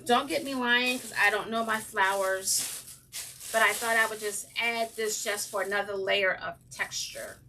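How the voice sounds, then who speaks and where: A woman with a slightly raspy voice hosting a casual crafting livestream, chatting with viewers and saying um often. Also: mid-range voice, steady tone, unhurried, sharp tone, far from the mic, a little hiss or hum, rough recording. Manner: chatty, greets viewers by name, frequent ums. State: slightly raspy from breathing trouble. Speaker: craft channel host.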